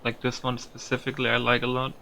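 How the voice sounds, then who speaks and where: A man talks through a video game in a casual, musing way. His voice is bright and forward with a creaky, gravelly edge. There is faint noise behind him, and he swears lightly now and then. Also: medium pitch, completely flat delivery, quick pace. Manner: musing aloud, mildly profane. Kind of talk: casual gameplay commentary.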